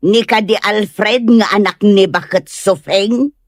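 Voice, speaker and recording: A woman's voice: medium pitch, slow, dry recording, clean studio sound, close to an everyday voice. A woman playing a stern, suspicious middle-aged mother, probing and disapproving, in a comedy radio drama. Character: stern, suspicious mother questioning her daughter's friend.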